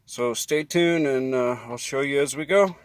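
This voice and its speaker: A man's voice: medium pitch, measured pace, clear, resonant tone. An American man vlogging in a casual, unscripted way, talking in a folksy, rambling manner with homespun slang. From United States. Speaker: vlogger on RV living and repairs. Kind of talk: casual unscripted vlog talk.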